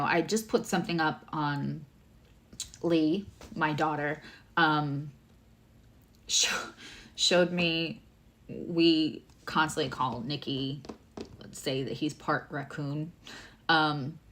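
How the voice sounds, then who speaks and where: A woman tarot reader and astrologer with a low voice, giving a livestream reading, chatty and emotional, addressing viewers by name. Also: steady tone, slow, long pauses, a bit bright.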